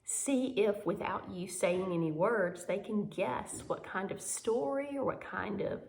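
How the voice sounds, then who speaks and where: A woman's voice: mid-range voice, a little slow, creaky, a little mellow, slight background noise. A woman teaching a pantomime and ballet lesson on video, demonstrating as she talks with dramatic emphasis. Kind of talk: instructional video dance lesson. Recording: echoey room with music.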